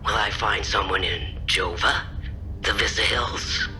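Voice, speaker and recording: An actor playing a taunting, threatening intelligence officer in a video game cutscene, speaking in a measured way. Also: male voice, lowish voice, fairly even intonation, lightly acted. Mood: quietly menacing. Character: menacing, taunting male intelligence officer hunting two intruders.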